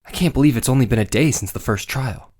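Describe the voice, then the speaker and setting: A woman's voice: extremely low pitch, fast talker, darkish tone. A woman voicing an earnest, wry young male defense lawyer in a video game dub. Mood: brooding.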